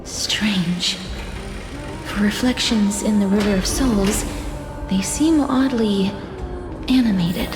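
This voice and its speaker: An actress voicing a composed, compassionate young woman in a video game, soft-spoken and breathy. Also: medium pitch, slow, long pauses, music in the background, close to an everyday voice. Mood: pensive.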